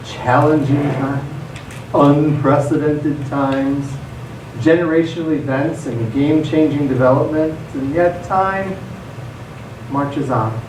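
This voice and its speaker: A man playing a folksy, musing journal reader in a live radio theatre monologue. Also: a little high. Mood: reflective. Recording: distant echoey stage recording, noisy.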